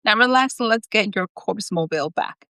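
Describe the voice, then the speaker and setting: A woman's voice: medium pitch, animated, normal speed, pushed and bright, no echo. Confident, teasing sidekick guy for a storybook female reader, casual delivery, dry sound. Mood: cajoling. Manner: casual, cajoling.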